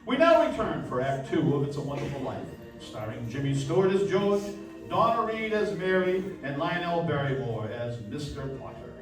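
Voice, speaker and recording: A man's voice: fairly high pitch, very animated, moderate pace, music in the background. A man playing a polished, genial 1940s radio announcer in a live stage radio play, with formal, projected delivery. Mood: solemn, dramatic. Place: live stage performance in a dance hall. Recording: echoey hall, distant mic, live stage.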